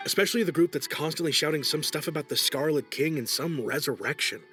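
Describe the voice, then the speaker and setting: An actor playing a wary young student in a video game, talking in a cautious, musing way. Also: male voice, on the high side, quick pace, a bit bright, soft background music, natural voice. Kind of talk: video game cutscene acting.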